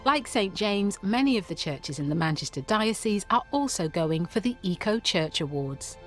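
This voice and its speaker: A woman reading scripted voice-over narration for a TV programme, smooth and even. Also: medium pitch, normal speed. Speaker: TV presenter. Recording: faint music bed underneath.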